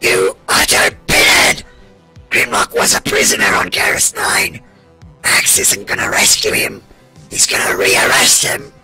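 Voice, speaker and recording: A man playing an excitable, mouthy robot with a raspy voice, speaking bluntly in an amateur comic dub. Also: unhurried, soft background music. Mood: exasperated, urgent. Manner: indignant, blunt, exclamatory.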